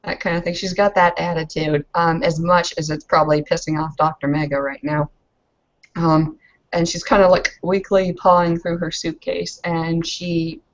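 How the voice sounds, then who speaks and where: A woman's voice: on the low side, highs cut off. A woman player casually improvising narration in a roleplaying game, hesitant, slangy and full of fillers.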